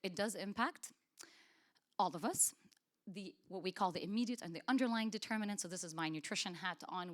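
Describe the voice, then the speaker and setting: A fairly low-voiced woman from Lebanon presenting at a conference, speaking into a podium microphone. She talks in an earnest, emphatic way, pressing on key words and often restarting her sentences. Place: conference hall.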